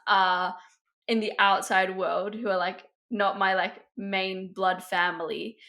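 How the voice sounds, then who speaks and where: Bright, chatty young woman. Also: medium pitch, flat delivery, takes it slowly. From Australia. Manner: chatty, lots of "like". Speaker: podcast co-host, daughter. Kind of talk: casual conversation.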